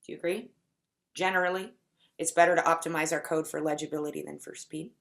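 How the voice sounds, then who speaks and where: A woman giving a conference talk in a deliberate, explanatory way, slowing down to stretch key words. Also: low-pitched, fairly even intonation, creaky. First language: English. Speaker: conference speaker. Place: home setup for a virtual conference.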